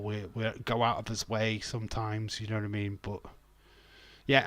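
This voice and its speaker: A young man with a broad Yorkshire accent talks loosely and jokingly on a livestream, the odd swear thrown in. His voice sits fairly low. The sound is dry and close to the mic. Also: a bit bright, no room sound. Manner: chatty, jokey, swearing, often laughing. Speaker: wrestling watch-along streamer. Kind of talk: casual livestream chat.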